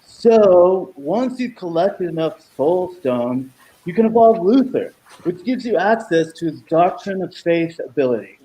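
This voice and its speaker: A man's voice: high voice, lively intonation, measured pace, warm tone, rough recording. A middle-aged man playing an irresponsible, game-obsessed stoner superhero in a comedy radio play over a video call. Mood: enthusiastic explaining. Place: room at home, video call.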